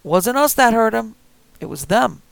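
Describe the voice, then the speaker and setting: A man voicing a greedy, menacing older man who holds a shotgun, with a gruff, threatening drawl. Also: sits high, normal speed, no echo, pitched above the performer's own voice.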